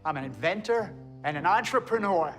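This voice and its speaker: Actor as a high-voiced, pushy goofy salesman. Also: lively intonation, a little fast. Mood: eager, chummy. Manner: chummy sales patter. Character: eager, pushy male inventor and salesman, goofy and chummy. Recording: music over film clip.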